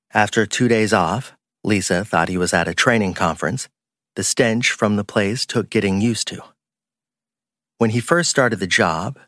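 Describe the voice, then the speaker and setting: A man's voice: fairly low pitch, a bit bright, no echo, studio quality. A man reading scripted crime fiction as an audiobook narrator.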